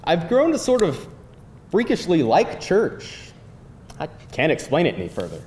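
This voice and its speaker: A man's voice: a little high, wildly swooping pitch, measured pace, a little mellow. An actor playing a quirky, dryly teasing man on a date in a live screenplay table read. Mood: earnest, sheepish. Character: quirky atheist man on a date, secretly devoted churchgoer. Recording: echoey live room, faint noise.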